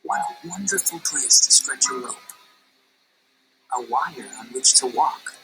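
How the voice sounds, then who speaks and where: Dreamy young wire-walker guy thinking out loud, woman reading him, music playing under. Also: rumbling low, animated, a little slow, exaggerated voice, rough recording. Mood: musing.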